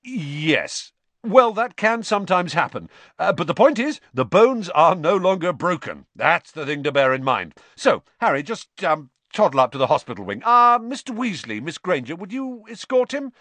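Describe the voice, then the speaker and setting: A man's voice: a little high, sing-song, normal speed, fairly bright tone, no room sound, voice pushed up. A man voicing a vain, flustered male wizard teacher who airily glosses over his blunder. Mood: breezy bluster.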